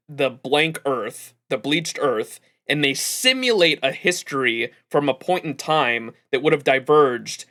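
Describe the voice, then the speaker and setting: Stream host: man, bright forceful voice at middling pitch, casual, animated, rambling and sweary commentary. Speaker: livestream host.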